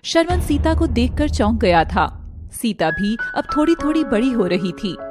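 A woman's voice: fairly high pitch, very animated, unhurried, music in the background. A woman with an Indian accent narrating a scripted story.

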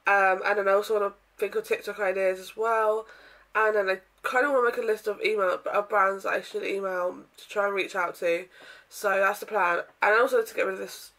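A young woman vlogging casually from her bedroom, with a croaky voice from a sore throat, chatting in a rambling way with lots of ums and throat clearing. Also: mid-range voice, fairly bright tone, poor audio. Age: young adult. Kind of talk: casual day-in-my-life vlog. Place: bedroom, indoors.

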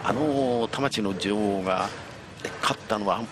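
A man's voice: on the low side, a little slow. A man doing live play-by-play bowling commentary, exclaiming and repeating words at big moments. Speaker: sports play-by-play announcer. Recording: lo-fi broadcast, loud noise and crowd. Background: bowling arena crowd noise.

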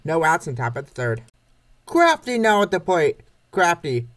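A man's voice: on the high side, very animated, normal speed, fairly bright tone. A man reading scripted play-by-play narration of a comic baseball game. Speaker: narrator of a comic dub series.

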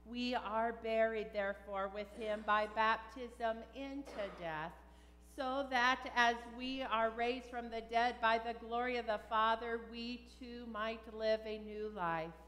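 High-voiced woman pastor in a reverberant church. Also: a bit bright, slight background noise. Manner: measured, solemn. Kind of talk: formal funeral liturgy read aloud. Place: large church sanctuary.

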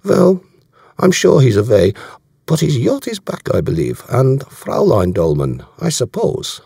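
A man's voice: medium pitch, wildly swooping pitch, measured pace, gravelly, dark tone, breathy edge, dry recording, studio quality, voice pushed up, exaggerated voice. A man voicing a bluff, shrewd German naval commander in an audiobook, genial and courteous as he probes with questions. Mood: genial probing.

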